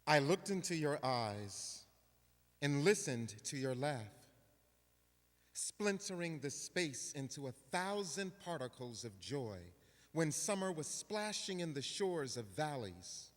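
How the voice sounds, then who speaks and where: A man giving a dramatic poetry reading in measured, deliberate phrases. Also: a little high, very animated. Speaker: actor reading aloud. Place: large indoor hall at a live reading. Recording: echoey hall with long reverb.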